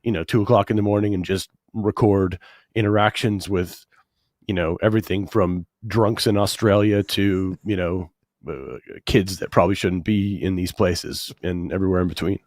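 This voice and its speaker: Deadpan man, low voice, slightly worn out. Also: moderate pace, no room sound. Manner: dry, deadpan joking. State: losing his voice after bronchitis. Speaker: comedian guest. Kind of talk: casual interview chat.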